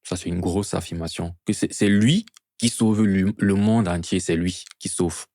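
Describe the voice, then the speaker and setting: Man, low-pitched voice, earnest preacher, didactic sermon delivery, rhetorical questions, dry sound. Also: steady tone, measured pace, a little mellow, dry recording. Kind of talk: evangelistic sermon to a gathering.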